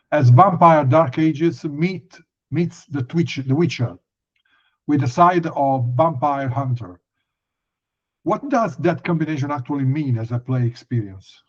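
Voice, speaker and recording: A man hosting a podcast interview, asking questions in a halting, hesitant way over a thin, narrow line. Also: mid-range voice, expressive. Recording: tinny narrow phone-like line.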